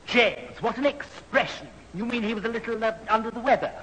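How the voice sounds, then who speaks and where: An actor playing a prim, timid, flustered neighbour in a 1970s radio sitcom, fussy and hesitant with stammers. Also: male voice, very high-pitched, fairly quick, pushed and bright. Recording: reverberant, slightly noisy old radio recording.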